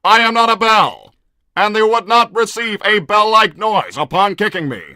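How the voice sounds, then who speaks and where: A man playing a literal-minded combat robot who speaks in formal, deadpan, stilted sentences in a livestream roleplay. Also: high-pitched, normal speed, no echo, full character voice. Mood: matter-of-fact. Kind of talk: in-character livestream roleplay, reading viewer messages.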